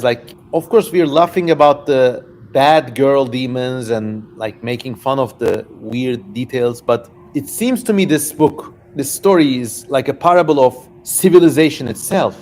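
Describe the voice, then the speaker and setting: A man's voice: mid-range voice, darkish tone. Male stream host, mid-range voice. Informal, tangent-prone reading and commentary, slightly crude, faint noise behind. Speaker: livestream host. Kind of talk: casual livestream reading with commentary. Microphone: stationary mic, leans in to it.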